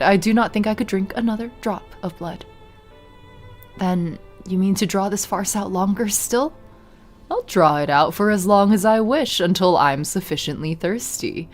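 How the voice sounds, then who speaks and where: A woman voicing a cold, haughty female vampire noblewoman who speaks slowly and with arch formality. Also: on the low side, a little mellow, voice pushed down. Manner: slow, purring, archly formal.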